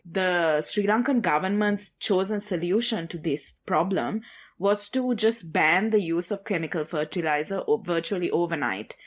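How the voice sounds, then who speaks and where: A woman co-hosting a news podcast, explaining regional affairs in a measured, conversational way with frequent fillers. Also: fairly low pitch. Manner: measured, with frequent fillers like "you know" and "kind of". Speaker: podcast co-host.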